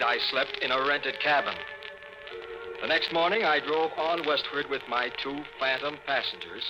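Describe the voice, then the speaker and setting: A man's voice: mid-range voice, natural voice. An actor playing a fussy, exasperated haunted man in a 1950s radio comedy, prim and wordy in his manner. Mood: resigned narration. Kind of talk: 1950s comedic radio acting and first-person narration. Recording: muffled old radio recording, music behind.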